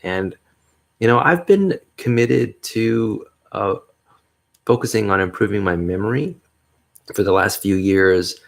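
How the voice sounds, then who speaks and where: A man hosting a solo podcast, talking in a mellow bass voice, upbeat and rambling, with frequent chuckles. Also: unhurried, gravelly. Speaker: podcast host. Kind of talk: casual solo podcast monologue.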